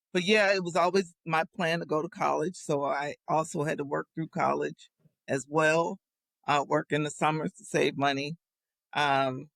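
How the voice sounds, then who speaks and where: A woman's voice: low-pitched, creaky, a bit bright, no echo. A woman in her fifties, a podcast guest, telling reflective and amused stories in casual conversation.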